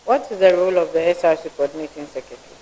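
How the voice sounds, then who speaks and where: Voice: mid-range voice, rapid-fire, mellow, rough recording. A vetting panelist, asking blunt, probing questions and giving critical remarks. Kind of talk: interview questioning.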